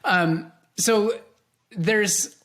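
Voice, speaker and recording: A man's voice: sits high, drawn-out words, fairly bright tone. A man hosting a podcast interview in a conversational, enthusiastic and affirming manner.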